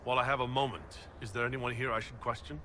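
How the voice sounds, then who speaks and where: A man's voice: mid-range voice, fairly even intonation, fast talker, pushed and bright, a little hiss or hum, natural voice. A man playing a composed commander in a video game, direct, questioning and authoritative. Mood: calm, probing. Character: composed, probing male commander of an inquisition. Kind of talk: video game dialogue acting.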